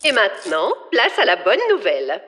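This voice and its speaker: A woman's voice: medium pitch, wildly swooping pitch, normal speed, fairly bright tone, roomy sound. A woman voicing a strict, self-important adult campus director in a cartoon, announcing the rules in a pompous manner. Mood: stern.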